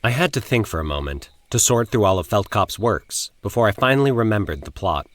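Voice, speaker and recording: A man's voice: lowish voice, big pitch swings, brisk. A man narrating a scripted first-person horror story in a formal, dramatic manner.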